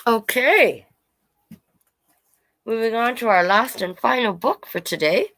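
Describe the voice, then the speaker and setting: A woman's voice: fairly high pitch, expressive, slow, a bit bright. A woman storyteller reading a children's book aloud, with informal, playful asides. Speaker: drag king storyteller reading children's books.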